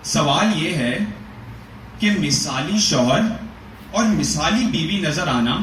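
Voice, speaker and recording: A man giving a measured, rhetorical dramatic reading of a literary prose essay, asking pointed questions as he goes. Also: a little high, fairly bright tone. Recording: echoey room, voice from a distance, faint noise.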